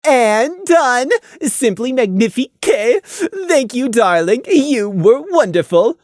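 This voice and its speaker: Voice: mid-range voice, big pitch swings, slow pace, darkish tone, no echo, studio quality, pitched above the performer's own voice. A narrator voicing a flamboyant female pony photographer in an effusive, theatrical manner. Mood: gushing delight.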